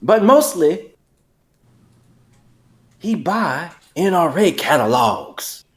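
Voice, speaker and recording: A man's voice: fairly high pitch, very animated, drawn-out words, exaggerated voice. A man playing an opinionated, suspicious late-night cashier in a dramatic script reading, with an insistent, gossipy manner. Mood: confiding.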